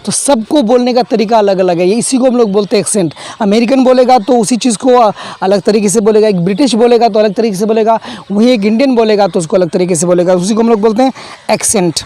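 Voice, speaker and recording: High-voiced man teaching a class. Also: quick pace, warm tone. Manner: repetitive, explains each phrase with fillers. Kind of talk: explaining a textbook play line by line.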